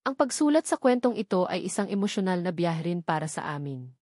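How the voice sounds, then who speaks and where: A woman's voice: on the low side, sing-song, fairly quick, a little mellow, dry recording, studio quality. A woman with a Filipino accent reads scripted narration for a romantic audio drama as a storyteller.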